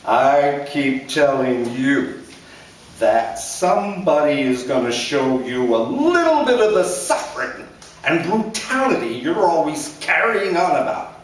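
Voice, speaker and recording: A man's voice: fairly high pitch, very animated, a little slow, slight background noise, pitched above the performer's own voice. A man voicing an angry, belittling middle-aged drunk father, ranting and sarcastic, heard in an echoey room from far off.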